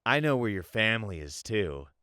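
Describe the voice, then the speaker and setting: A man's voice: lowish voice, sing-song, bright, forceful tone, clear, resonant tone, no room sound, studio quality. An actor playing a menacing male crime boss in an audio drama, taunting and falsely friendly as he toys with his prey. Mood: taunting, commanding. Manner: taunting, toying, drawn-out threats.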